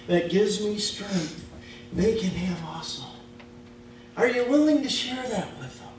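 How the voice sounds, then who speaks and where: A Midwestern man preaches slowly and emphatically, his voice sitting high for a man. He is heard from a distance in a very echoey church. A faint hum runs underneath. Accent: Midwestern American. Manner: slow, emphatic preaching with casual grammar. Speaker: pastor. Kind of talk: sermon. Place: church sanctuary.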